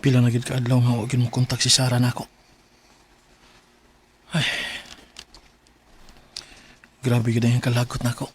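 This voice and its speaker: A man's voice: mid-range voice, completely flat delivery, fairly quick, heavy background noise, close to an everyday voice. A man acting an earnest, plaintive young boyfriend in a radio drama, with a Cebuano Filipino accent. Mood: uneasy.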